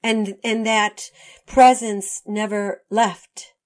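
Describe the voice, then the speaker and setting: A woman's voice: mid-range voice, fairly even intonation, extremely slow. A woman hosting an interview, asking questions and giving frequent short encouraging responses. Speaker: interviewer host.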